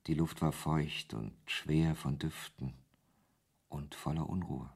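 Very deep, husky male narrator. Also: fairly even intonation, slow pace, darkish tone. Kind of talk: scripted literary narration in a radio drama.

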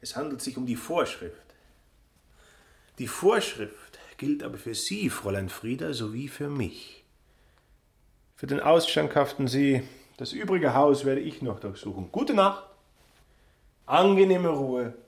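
An actor playing a suspicious, rule-bound innkeeper in a radio drama, speaking formally and insistently. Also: male voice, mid-range voice, lively intonation, measured pace, vocal fry, pauses often. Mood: officious, stern. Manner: formal, insistent, stressing regulations. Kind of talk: literary radio drama acting.

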